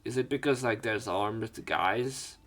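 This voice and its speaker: A man's voice: fairly low pitch, slow pace, fairly bright tone. A man hosting a let's play, reading game text aloud and adding casual, joking commentary.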